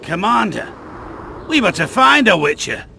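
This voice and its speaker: Mercenary footsoldier, video game, edgy, forceful, creaky rasp, slight background noise. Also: male voice, high-pitched, very animated, measured pace, exaggerated voice. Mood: anxious. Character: edgy rank-and-file male mercenary soldier. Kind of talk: video game character acting.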